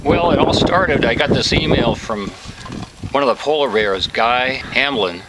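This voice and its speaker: A man's voice: medium pitch, unhurried. A man hosting a camping vlog, chatting with enthusiasm outdoors at a desert campfire. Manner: enthusiastic, laughs easily. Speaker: vlog host and ham radio operator. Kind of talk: casual outdoor chat. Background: loud wind and outdoor noise.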